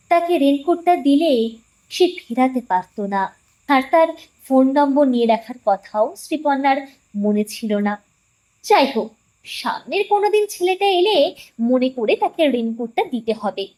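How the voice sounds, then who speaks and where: Female narrator for a suspense audio story, high-pitched, very lively intonation, scripted delivery. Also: rough recording.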